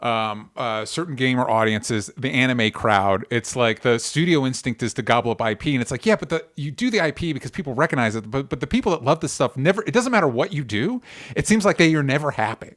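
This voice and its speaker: A man's voice: medium pitch, expressive, fast talker, a bit bright. A man co-hosting a podcast, talking casually and with strong opinions, often stopping and restarting his sentences. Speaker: podcast co-host.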